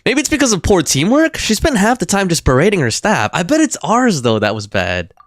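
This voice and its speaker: A woman's voice: lowish voice, sing-song, fairly quick, no room sound, studio quality. A woman giving casual, slangy live game commentary, muttering reactions as she plays. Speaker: game streamer.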